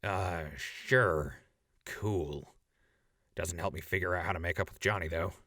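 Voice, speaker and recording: A man's voice: low-pitched, a little slow, pushed and bright, dry recording, clean studio sound, exaggerated voice. A man playing a guilt-ridden, sardonic wasteland survivor in an audio drama, speaking in a plain, casual way. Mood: glum. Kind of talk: post-apocalyptic audio drama acting.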